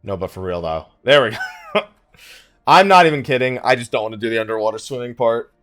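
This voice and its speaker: Animated man doing casual game commentary. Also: mid-range voice, a little fast, soft background music. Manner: thinking aloud, muttering asides to himself. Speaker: let's play host.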